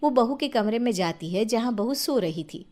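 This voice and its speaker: A woman's voice: a little high, lively intonation, normal speed, a little mellow, slight background noise. A woman narrator reading a scripted family story.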